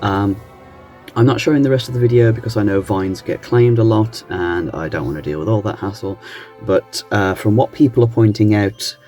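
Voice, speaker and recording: A man hosting a reaction channel, chatting casually in a halting, musing way. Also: fairly low pitch, warm tone, soft background music. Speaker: host of a reaction video channel. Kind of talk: casual chat reacting to analog horror videos.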